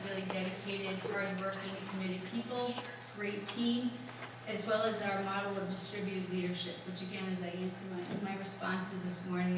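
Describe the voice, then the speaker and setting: A woman school superintendent introducing herself on a panel in a measured, plain way. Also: medium pitch, background noise. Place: school hall. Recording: echoey room, distant mic.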